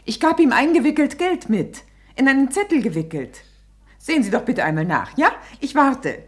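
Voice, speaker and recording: An actress in a German radio play playing an anxious mother searching for her missing small son, tense and hurried, with strained politeness. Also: high voice, big pitch swings. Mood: urgent, strained.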